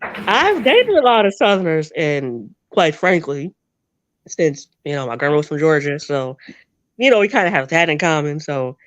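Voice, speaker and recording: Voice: medium pitch, very animated, moderate pace, a bit bright, dry recording. An interview guest from New Jersey with a half-Northern, half-Southern American accent, telling rambling stories in a chatty way with frequent laughs. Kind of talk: casual storytelling chat.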